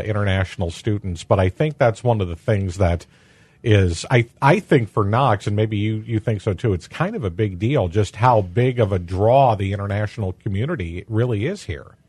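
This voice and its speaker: Male local radio host, low-ish voice, halting delivery with fillers, dry studio sound. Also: a little mellow, husky, dry recording. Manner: halting, many fillers and restarts.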